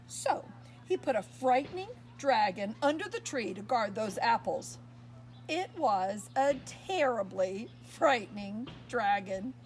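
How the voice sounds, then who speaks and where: A woman reading a picture book aloud to young children, expressive and pausing to ask her listeners questions. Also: on the high side, rough recording. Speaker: storyteller.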